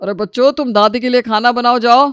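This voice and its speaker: A man's voice: very high voice, fairly even intonation, fairly quick, fairly bright tone, smooth, dry recording. Guy playing a middle-aged father-in-law in a story, ingratiating schemer, dry close sound. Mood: pleased.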